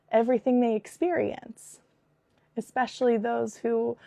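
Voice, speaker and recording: A woman in her thirties with a cold, hosting her podcast with an earnest, animated manner and a hoarse, raspy voice. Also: a little high, no room sound. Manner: earnest, animated, with frequent fillers and restarts. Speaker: podcast host and spiritual business coach. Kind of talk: casual spoken monologue.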